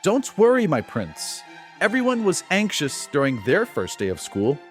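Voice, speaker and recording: Guy doing a polite bug-creature butler to royalty, formal, highish male voice, really swoopy, faint music under it. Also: huge pitch swings, moderate pace. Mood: courteous, reassuring. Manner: formal, deferential servant. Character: polite, patient male changeling royal chamberlain. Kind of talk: scripted audiobook character dialogue.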